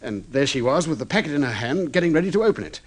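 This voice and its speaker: A man's voice: fairly high pitch, sing-song, very fast. An actor playing an agitated, guilty husband in an old radio drama, pleading earnestly and stumbling over his words. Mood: confessing, anxious. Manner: earnest, flustered, hesitant and repeating himself. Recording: slightly muffled vintage radio drama recording.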